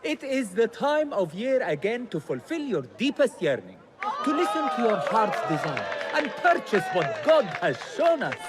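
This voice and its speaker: An actor playing a showy, effusive auctioneer in a video game, with grandiose, florid salesmanship over crowd murmur. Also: male voice, high voice, wildly swooping pitch, normal speed, very noisy. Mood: showy, welcoming. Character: showy, effusive male auctioneer welcoming wealthy bidders. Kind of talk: theatrical game-character performance.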